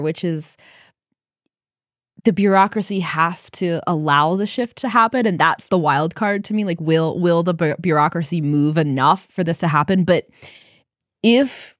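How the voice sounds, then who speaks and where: A woman's voice: lowish voice, no room sound, studio quality. A woman in her thirties hosting an interview podcast, speaking in a thoughtful, articulate way. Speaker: podcast host. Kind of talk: casual interview conversation. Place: dark podcast studio. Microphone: studio mic on boom arm, headphones.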